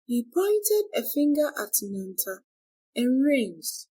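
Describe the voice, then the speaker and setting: Woman reading a folktale, slow n expressive, slightly husky voice, dramatic storytelling. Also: high-pitched, low-quality recording. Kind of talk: scripted dramatic folktale narration.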